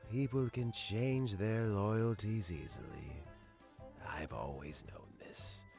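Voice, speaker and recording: An actor voicing a weary, kindly elderly male official who speaks slowly and gently in a video game. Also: lowish voice, creaky, music in the background, full character voice. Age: older.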